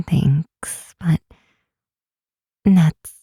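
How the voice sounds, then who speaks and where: A woman playing a timid, self-doubting young fantasy healer in an ASMR roleplay, speaking softly and haltingly in a breathy half-whisper. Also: low voice, no echo, studio quality, full character voice. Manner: halting, sighing, unsure delivery. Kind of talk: ASMR roleplay, intimate and hesitant. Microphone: close, dry microphone.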